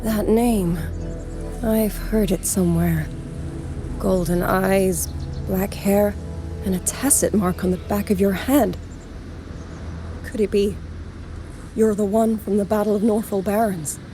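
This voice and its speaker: An actress playing a guarded young woman soldier in a video game, a shaken survivor speaking in a measured, formal, earnest way. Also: mid-range voice, steady tone, close to an everyday voice. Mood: searching, dawning recognition. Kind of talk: English game voice acting. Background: game music.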